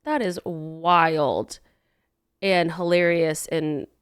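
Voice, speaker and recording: A woman co-hosting a podcast in a studio, chatting casually, gushing with enthusiasm and quickly agreeing with her co-host. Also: low-pitched, fairly even intonation, smooth, no room sound. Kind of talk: casual chat.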